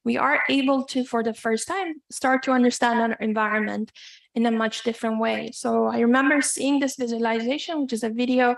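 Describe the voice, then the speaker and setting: A woman from Iran giving an academic lecture as a guest speaker, measured and hesitant, pausing often as she goes. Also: on the high side, steady tone, gravelly, low-quality recording.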